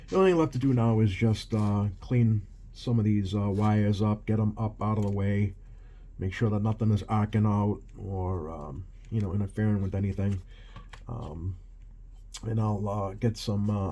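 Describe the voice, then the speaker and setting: A man giving casual, halting hands-on repair commentary, thinking aloud as he works at a bench. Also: on the low side, warm tone, a little hiss or hum. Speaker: radio repair technician, video host. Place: small indoor workshop at an electronics bench.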